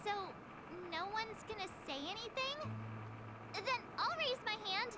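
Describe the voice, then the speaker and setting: A woman's voice: extremely high pitch, expressive, a little slow, background noise. A woman voicing a perky, chatty teenage girl adventurer in a video game, eager and cheeky. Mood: eager, bubbly.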